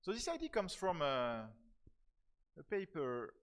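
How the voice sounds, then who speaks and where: A man from France, a professor of software technology, giving a conference talk with many fillers and repeated words. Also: on the high side, wildly swooping pitch, measured pace. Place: conference hall.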